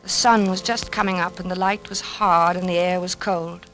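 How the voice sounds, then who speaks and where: A woman in 1940s radio acting, playing an anxious, troubled wife narrating her ordeal in a measured, suspenseful way. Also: lowish voice, steady tone, lightly acted, low-quality recording. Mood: grave, narrating. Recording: old radio recording, music behind.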